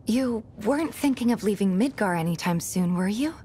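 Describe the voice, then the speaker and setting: An actress with an American accent playing a warm, earnest young woman fighter in a video game. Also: medium pitch, animated, normal speed, slight background noise, lightly acted. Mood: warm, gentle. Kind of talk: video game cutscene voice acting.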